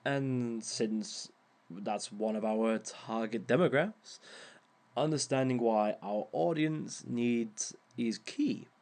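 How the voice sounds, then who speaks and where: A man's voice: medium pitch, darkish tone. A man voicing a suave villain teaching a seminar in a dry, sardonic, amused way. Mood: wry. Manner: slow, dry and sardonic, with small amused laughs. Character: suave, wry male villain lecturing a seminar. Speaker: villain instructor. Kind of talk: audio roleplay monologue.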